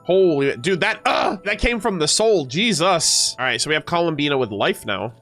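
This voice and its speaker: A man doing casual, opinionated reaction commentary as a game streamer, slangy and expressive, with some swearing. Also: fairly high pitch, moderate pace, faint music behind. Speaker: gaming reaction content creator and streamer.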